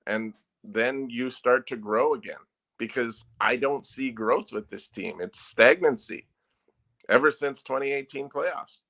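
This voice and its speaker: Man, sports editor guest, bright forceful voice, emphatic, opinionated talk. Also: medium pitch, measured pace, gravelly.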